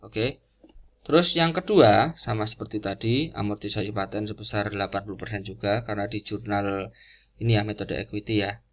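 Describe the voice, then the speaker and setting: A man giving an informal explanatory lecture in a didactic, halting way, repeating key phrases as he goes. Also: fairly low pitch, a bit bright. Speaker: accounting lecturer.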